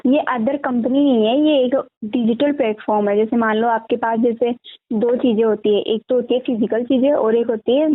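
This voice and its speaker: Woman pitching sales on a phone call, kinda high voice, keeps checking you agree, tinny sound. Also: fairly even intonation, moderate pace. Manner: explanatory, often seeks agreement. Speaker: network marketing recruiter.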